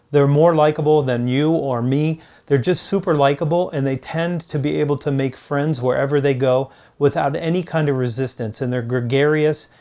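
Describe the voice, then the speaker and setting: A man hosting a business advice video, speaking straight to the viewer in an emphatic, motivational way with deliberate repetition. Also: fairly high pitch, darkish tone. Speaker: host, sales author and coach.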